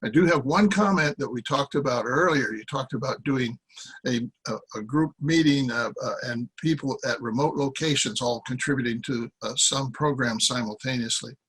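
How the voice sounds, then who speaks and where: An American man in his seventies giving an informal talk from notes, rambling and halting as he corrects himself. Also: medium pitch, a bit bright, husky, poor audio. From Iowa, United States. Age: 70s. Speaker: guest speaker, former journalist. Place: small cluttered room with boxes, indoors. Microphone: computer microphone on a video call.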